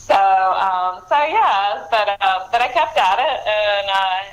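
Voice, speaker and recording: A middle-aged woman, an author chatting casually as an interview guest, talkative and quick to laugh. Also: medium pitch, expressive, low-quality recording.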